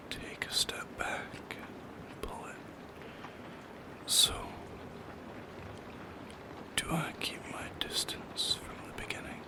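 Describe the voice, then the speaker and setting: A man voicing a lonely, shy shadow creature in an ASMR roleplay, speaking in a soft, halting, intimate whisper with a creaky edge. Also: close to an everyday voice. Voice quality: breathy whisper with creaky edges.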